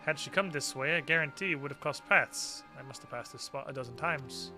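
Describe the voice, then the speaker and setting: A man's voice: mid-range voice, fairly quick, sharp tone. A man voicing a dutiful city guard from a fantasy game in formal, archaic, courteous phrases. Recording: game music under the voice.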